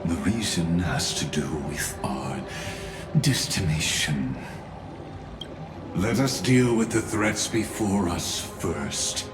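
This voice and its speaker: A man playing an enigmatic, coolly menacing guide in a video game, with formal, measured phrasing. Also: deep voice, animated. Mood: cool, evasive. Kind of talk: scripted video game character acting. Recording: echoey voice. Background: music.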